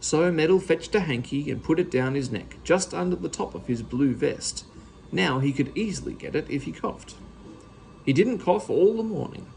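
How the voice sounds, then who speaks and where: A man's voice: on the high side, animated, moderate pace, gravelly, a little mellow, slight background noise, poor audio. A man reading a children's storybook aloud as narrator. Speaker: story reader.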